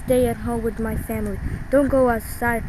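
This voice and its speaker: A woman narrating a made-up emergency alert with a slightly high voice. She stops and starts, repeats herself and restarts sentences as she goes. Clear background noise with passing vehicles runs under her. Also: fairly even intonation. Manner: halting, repetitive, restarts mid-sentence. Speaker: video creator.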